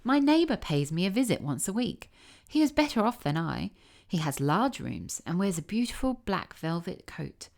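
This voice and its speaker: A woman's voice: mid-range voice, big pitch swings, moderate pace, vocal fry, hardly any pauses, a little mellow. A woman voicing a kindly, fussy old female field mouse in a storybook, chatty and full of advice. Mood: eager, gossipy. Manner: chatty, advising.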